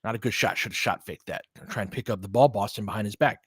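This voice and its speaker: A man's voice: fairly low pitch, very fast, a bit bright, slightly rough, no echo, studio quality. A man doing casual live basketball play-by-play in clipped phrases, with conversational analytical asides. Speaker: play-by-play commentator and host.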